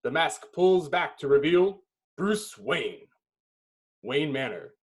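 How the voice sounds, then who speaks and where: A man's voice: fairly high pitch, animated, takes it slowly, breathy edge. A man giving dramatic scripted narration, reading a film script's stage directions.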